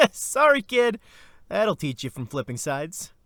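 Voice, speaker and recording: A man's voice: high voice, sing-song, slow, a bit bright, no room sound, clean studio sound, heavily acted. A man playing a wry, teasing young big brother in an audio drama, sarcastic and playful. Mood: amused. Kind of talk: audio drama character acting.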